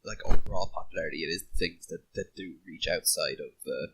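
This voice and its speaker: A man's voice: low voice, a little fast, pushed and bright, breathy edge, no echo, low-quality recording. A man chatting casually on a podcast panel, often repeating himself as he gathers his thoughts.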